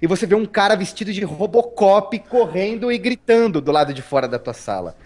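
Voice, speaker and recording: Brief: Paulistano male guest, relaxed storyteller, raised pitch, lots of restarts and "né?". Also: a little fast. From Brazil. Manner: chatty, storytelling, frequent restarts and tag questions. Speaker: interview guest. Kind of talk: casual interview talk.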